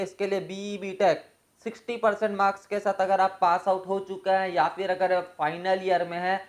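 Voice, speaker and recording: A woman's voice: mid-range voice, darkish tone. A woman hosting an informational explainer for viewers, going through details methodically point by point and mixing in many English terms. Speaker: host of an exam and job information channel.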